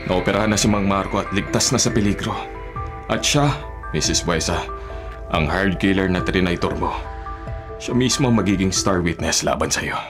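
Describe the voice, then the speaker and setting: A man's voice: deep voice, normal speed, over loud music, close to an everyday voice. An actor playing a righteous, accusing man in a Filipino radio drama, earnest and stern. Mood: stern accusation. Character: righteous, accusing man confronting a criminal woman.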